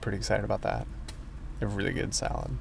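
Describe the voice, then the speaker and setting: A man in his twenties chatting casually and excitably as he hosts an outdoor vlog, rambling along into a lapel mic. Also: fairly low pitch, fast talker, creaky, a little hiss or hum. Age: 20s. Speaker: vlog host and reptile enthusiast. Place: outdoors in open fields, some in a parked car. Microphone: clip-on lapel mic.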